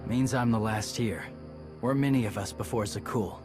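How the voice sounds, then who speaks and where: A man's voice: on the low side, normal speed, over music, close to an everyday voice. A male actor playing a stoic, weary warrior in a video game, laconic and understated in clipped phrases. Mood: weary, frank. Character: stoic young male warrior, weary last of his clan.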